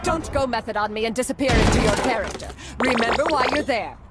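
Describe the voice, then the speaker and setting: A woman's voice: on the high side, fast, very noisy, close to an everyday voice. A woman playing a fussy cartoon adviser in a game cutscene. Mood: urging, sly. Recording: music behind the voice.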